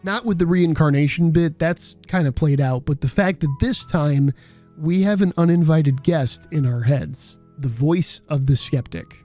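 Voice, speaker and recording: A male video essayist with a slightly high voice narrates from a script. He sounds conversational and irreverent, swearing freely, over a soft music bed. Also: measured pace.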